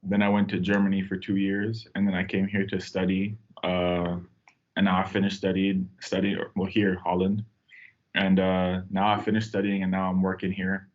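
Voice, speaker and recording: A young man from Tanzania, a podcast guest, telling his story in a relaxed, rambling way with lots of fillers. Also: low-pitched, moderate pace, highs cut off. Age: young adult. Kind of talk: casual podcast chat.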